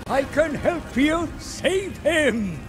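Old scheming scholar, grave game-cinematic delivery, slow with dramatic swings over music. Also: male voice, extremely high pitch, animated, full character voice. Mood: impassioned pleading. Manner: grave, theatrical, deliberate. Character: weary, scheming old scholar cursed to serve a tome. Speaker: narrator and advisor character.

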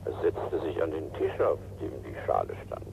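Gruff, creaky-voiced old colonel. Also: male voice, rumbling low, big pitch swings, normal speed, lo-fi sound, exaggerated voice. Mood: shaken. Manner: curt, swearing, stubborn. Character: gruff, irritable retired colonel and art collector. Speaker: actor. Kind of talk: German radio crime drama acting. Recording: slightly noisy old radio play recording.

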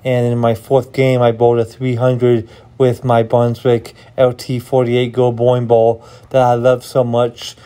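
Rambling middle-aged man, flat voice. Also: medium pitch, measured pace, clear, resonant tone. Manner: rambling, enthusiastic, many fillers. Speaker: bowling vlogger. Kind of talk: casual vlog talk to camera. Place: indoor bowling alley.

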